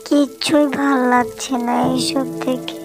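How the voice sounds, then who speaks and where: A woman voicing a sad, earnest young village daughter in a cartoon, speaking in a plaintive, drawn-out way. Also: sits high, level tone, poor audio. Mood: tearful, dejected. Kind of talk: cartoon voice acting. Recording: music under the voice.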